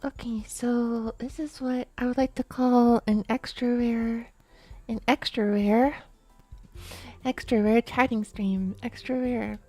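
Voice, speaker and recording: A sleepy woman chats to her stream in a soft, hushed voice that sits a little high. Her tone is mellow and relaxed, drifting with fillers and restarts. Faint music plays under her. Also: slow pace. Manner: soft, quiet, drowsy talk with fillers. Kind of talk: sleepy late-night chat stream.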